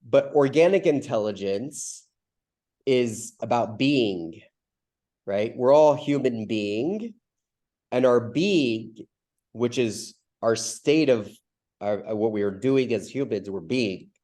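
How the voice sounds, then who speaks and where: A man with a cold, his voice stuffy and mellow, talks through big ideas on a video call. He rambles loosely, doubles back on himself and keeps checking in with "right?". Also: medium pitch, lively intonation, slow. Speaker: community call host. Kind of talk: casual explanatory talk on a video call.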